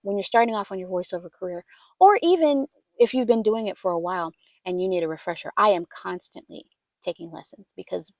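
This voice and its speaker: A soft-spoken woman giving casual advice as a voiceover coach, chatty and easygoing. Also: mid-range voice, animated, a little fast, no room sound. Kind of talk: casual instructional talk to camera.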